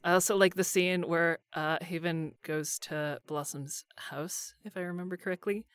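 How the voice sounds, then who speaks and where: A woman's voice: fairly low pitch, steady tone, moderate pace, fairly bright tone, clean tone, dry recording. A woman voice actor chatting casually as an interview guest, with informal, loosely built phrasing. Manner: informal, hesitant phrasing full of "like". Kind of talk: casual cast interview chat.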